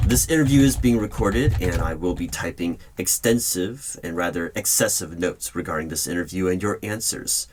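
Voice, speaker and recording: Very deep-voiced man, formal interviewer roleplay. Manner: formal, deliberate, official interviewer tone. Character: stern male hiring manager of secret organization. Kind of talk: ASMR roleplay, spoken at normal volume. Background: computer keyboard typing.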